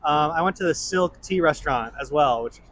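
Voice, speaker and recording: A man's voice: a little high, fairly quick, fairly bright tone. A man from New York State, describing his trip eagerly and with many "uh"s and "um"s. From New York State, USA. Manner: enthusiastic, lists things with many fillers. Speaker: tourist interviewee.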